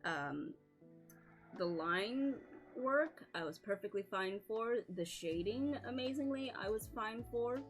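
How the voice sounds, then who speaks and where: A woman's voice: on the high side, expressive, slow, soft background music. A woman streaming live with a scratchy voice, chatting casually, apologizing often, swearing and laughing a lot.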